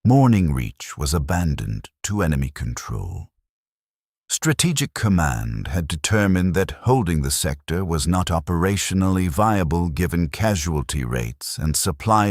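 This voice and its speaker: A man narrating a scripted first-person military story in a detached, measured, clinical manner. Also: extremely low pitch, no room sound, studio quality.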